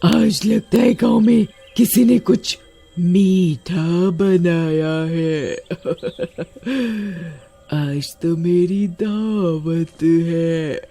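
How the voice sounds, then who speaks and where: A man's voice: sits high, very slow, creaky, warm tone, faint music behind, exaggerated voice. A man voicing a greedy female witch who craves sweets in a cartoon horror story, with a gloating, menacing manner.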